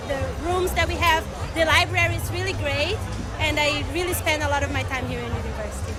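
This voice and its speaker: A woman's voice: high voice, fairly quick. A woman in her twenties giving a student testimonial. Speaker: law student. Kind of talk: testimonial to camera. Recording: outdoor chatter, music behind.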